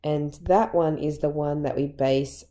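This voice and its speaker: Croaky low-voiced Australian teacher woman. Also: steady tone, unhurried, mellow. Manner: patient, step-by-step explaining. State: croaky voice from illness. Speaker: maths teacher. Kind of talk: recorded maths lesson.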